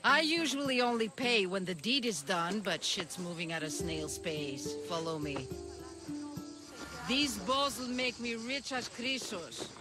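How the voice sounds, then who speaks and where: A woman's voice: mid-range voice, measured pace, music in the background, close to an everyday voice. A woman playing a tough, impatient bow-maker in a video game, brusque and commanding. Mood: brisk, shrewd. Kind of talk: video game cutscene acting.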